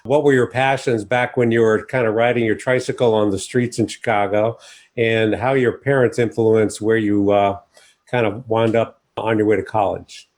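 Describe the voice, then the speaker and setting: An American man from New York hosting an interview in a conversational way, with measured, slightly formal phrasing. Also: fairly low pitch, steady tone, darkish tone. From New York, United States. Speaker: podcast host interviewing a guest.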